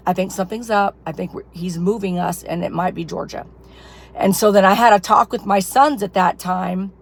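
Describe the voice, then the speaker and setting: A woman's voice: medium pitch, vocal fry. A woman who is losing her voice, telling a rambling personal testimony to her followers, full of fillers. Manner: rambling, filler-heavy storytelling. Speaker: ministry leader. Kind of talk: casual personal testimony to camera.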